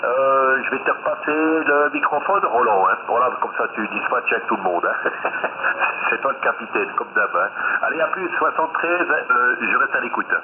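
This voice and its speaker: A man's voice: medium pitch, lively intonation, moderate pace. Rambling man on a noisy, narrow radio link. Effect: two-way radio. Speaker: amateur radio operator. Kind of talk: casual radio contact chat. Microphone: phone linked to a radio server. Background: loud radio noise.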